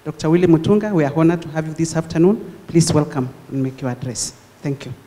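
An older woman speaking into a handheld microphone in a large lecture hall, hosting a conference and reflecting before she introduces the speaker in a measured, formal way. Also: sits low, mellow, slight background noise. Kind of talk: formal public address. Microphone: handheld mic. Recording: long hall reverb.

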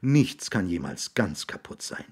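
Storybook mother, read by a man: serene, assured, soft-spoken, husky. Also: low voice, sing-song, measured pace, full character voice. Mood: calm, assured. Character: calm, serene, tall mother of two boys.

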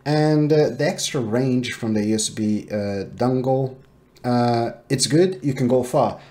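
A man in his thirties with a soft-edged, mellow voice talks through a product review in a small home office. His delivery is casual and halting, as if thinking aloud while he goes. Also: mid-range voice. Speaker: tech reviewer.

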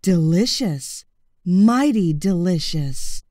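A storybook crocodile, played by a woman, male and smooth-tongued, with a greedy sweet tooth. He stretches his polite words out slowly, savouring each one, pitched lower than the storyteller's usual voice, in a dry recording. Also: lively intonation, warm tone, no room sound. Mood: delighted. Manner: slow, savouring, drawn-out politeness. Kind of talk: children's storybook character.

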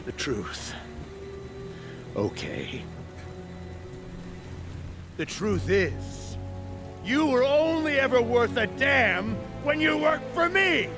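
Gravelly, arrogant, high-strung tycoon. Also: male voice, very animated, over music, heavily acted. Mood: scornful. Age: middle-aged. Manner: contemptuous, emphatic, drawn-out delivery. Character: arrogant, defiant middle-aged male tycoon mayor.

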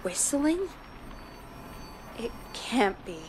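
A woman acting an excitable, worried radio producer in a dramatic video game. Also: fairly high pitch, extremely slow, noisy background. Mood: shocked.

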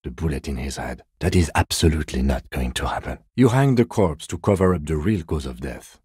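A man playing a composed, by-the-book police detective in a video game, speaking in a measured, reserved, matter-of-fact way. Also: rumbling low, animated, a little mellow, husky, no echo, clean studio sound, full character voice. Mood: firm, restrained. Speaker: voice actor. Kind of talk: video game character dialogue.

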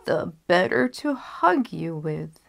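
A woman as a slow, sly big bad wolf. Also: fairly low pitch, very animated, creaky, exaggerated voice. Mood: falsely sweet. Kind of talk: storybook character reading.

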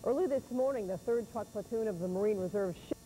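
Female studio anchor, thirties, warm mid-range voice reading the news, slight tape hiss. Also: mid-range voice, fast, rough recording. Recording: faint hiss, old TV broadcast.

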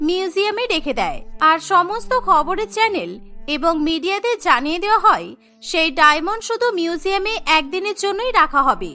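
A woman's voice: very high voice, big pitch swings, a little fast, pushed and bright, faint music behind. A woman narrating a scripted cartoon story in a storytelling manner.

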